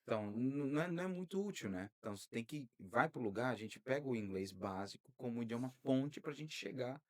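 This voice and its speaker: A man hosting a podcast interview, talking in a lively way and drifting into preacherly asides. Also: medium pitch, fairly quick. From Brazil. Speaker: podcast host. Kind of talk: casual interview.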